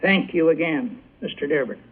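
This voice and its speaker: A man giving a formal, scripted radio speech as a company executive. His voice is a little high and his reading is stiff and halting. The old recording is thin, like a telephone. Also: expressive, husky, phone-quality sound. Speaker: company president accepting an award.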